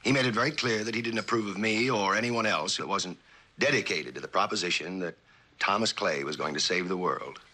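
Deep-voiced, persuasive lover. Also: male voice, fairly quick, pushed and bright. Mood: defensive, wry. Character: confident, persuasive male writer and lover. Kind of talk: mid-century American suspense drama acting.

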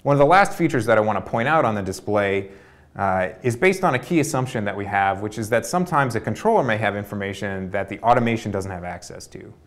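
Young male presenter, lowish pitch, clean structured demo read. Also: animated, fast. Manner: fluent, orderly walkthrough. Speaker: researcher demonstrating a prototype. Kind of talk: product demo narration. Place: dark studio with display screens.

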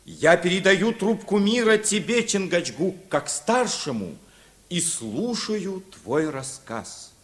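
A noble legendary hero from a vintage children's radio drama. The male voice sits a little high and moves in stately, unhurried, poetic phrases. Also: sing-song, full character voice. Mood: sorrowful. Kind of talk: mid-century Soviet children's radio play acting.

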